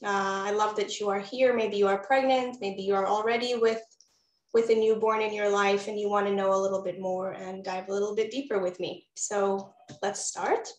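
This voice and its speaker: A woman's voice: medium pitch, fairly even intonation, normal speed, reverberant, poor audio. A woman from Israel, a postpartum doula, giving a webinar presentation in an encouraging way that speaks straight to the audience over a video call. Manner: warm, encouraging, addresses audience directly.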